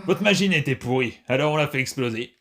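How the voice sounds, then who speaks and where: A man's voice: mid-range voice, expressive, fast, fairly bright tone. A man voicing a proud, tough female monster bully in an amateur comic dub, blunt and slangy, with taunts. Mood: cocky, threatening.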